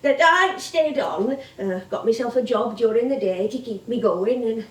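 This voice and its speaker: A woman's voice: fairly high pitch, moderate pace, lightly acted. An actress playing a chatty, nostalgic older comedienne with a Northern English accent, rambling and exclaiming as she tells stories on stage. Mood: fond, animated. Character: veteran comedienne, chatty, nostalgic older music-hall woman. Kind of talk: theatrical stage monologue and dialogue. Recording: echoey theatre stage from far off.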